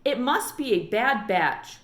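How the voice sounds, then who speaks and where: A woman voicing a reserved, gently stern preacher father with a measured, formal manner in a storybook read-aloud. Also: fairly high pitch, very animated, echoey room, exaggerated voice. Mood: wistful.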